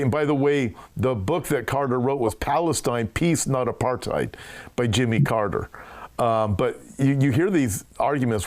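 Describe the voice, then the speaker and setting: A man's voice: mid-range voice, a little mellow. A pastor hosts the conversation in a plain mid-range male voice. He poses long, rambling questions, circling back with fillers before he lands on his point. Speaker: pastor and show host. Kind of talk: interview conversation.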